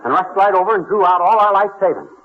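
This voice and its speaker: An actor playing a blustery, gullible, know-it-all American husband, brash and slangy, in old-time radio comedy. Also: male voice, high voice, fast. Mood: cocky, urgent. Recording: muffled, noisy vintage radio recording.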